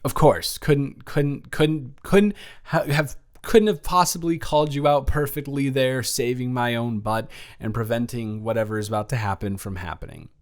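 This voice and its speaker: A man acts out an anxious young student in an intimate audio roleplay, talking to the dormmate he has a crush on. He has a mid-pitched male voice. He rambles and stumbles, flustered and awkward, often correcting himself. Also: normal speed, hardly any pauses, lightly acted. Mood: awkward, evasive.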